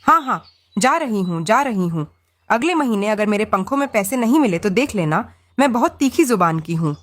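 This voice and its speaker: A woman's voice: medium pitch, expressive, moderate pace, lightly acted. A woman voicing a greedy, bullying crow aunt in a children's cartoon, scolding and demanding. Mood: scolding, threatening. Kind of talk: animated children's story acting.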